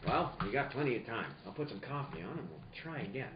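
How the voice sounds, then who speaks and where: An actor playing a wisecracking, cynical private detective in a radio play, sardonic and tough-talking. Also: male voice, medium pitch, expressive, fast, natural voice. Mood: suspicious. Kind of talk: film noir radio play acting. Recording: dull roomy recording with background noise.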